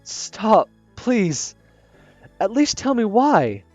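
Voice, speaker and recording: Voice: mid-range voice, big pitch swings, very slow, a little mellow, no room sound, muffled recording, faint music behind, voice pushed up, heavily acted. A gamer reading a visual novel aloud, voicing an earnest, caring teenage schoolboy who speaks urgently. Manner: urgent, insistent.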